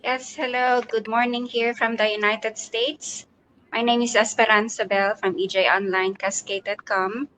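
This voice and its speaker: A woman's voice: on the high side, level tone, normal speed, fairly bright tone, low-quality recording. Woman guest from the Philippines, friendly and upbeat, plain voice. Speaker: guest, health worker. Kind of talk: casual chat.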